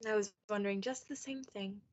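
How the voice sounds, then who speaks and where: A woman's voice: medium pitch, brisk, lightly acted. A young woman playing a devoted, anxious young wife in a period radio play, with an earnest, dramatic delivery. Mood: loving, insistent. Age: young adult.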